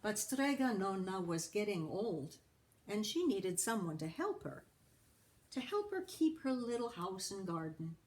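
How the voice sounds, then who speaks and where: A woman reading a children's story aloud as a storyteller, deliberately and with care for young listeners. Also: mid-range voice, sing-song, darkish tone.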